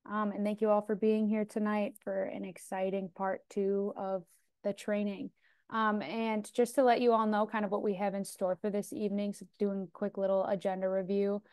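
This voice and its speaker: A woman's voice: medium pitch, flat delivery, moderate pace, a little mellow, clear, resonant tone, no room sound. A young woman hosting a video call meeting as outreach coordinator, upbeat and welcoming with frequent ums. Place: dim room indoors. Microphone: earbuds on video call.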